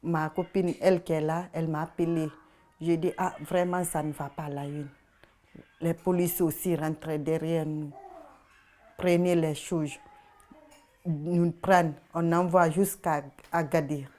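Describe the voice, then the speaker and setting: A woman. Her voice sits low and mellow, and she talks in plain, halting phrases, giving personal testimony for a documentary. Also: steady tone. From Guinea. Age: 20s. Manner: halting, plain short sentences. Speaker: interviewee.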